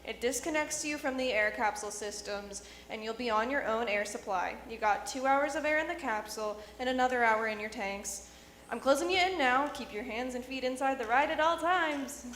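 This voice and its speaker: Woman acting a high-pitched no-nonsense launch technician, echoing hall. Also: normal speed, fairly bright tone. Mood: businesslike. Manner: instructive, clipped commands. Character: no-nonsense launch crew worker giving safety instructions. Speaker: radio theatre actor. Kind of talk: live stage radio drama acting. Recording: echoey hall with faint noise.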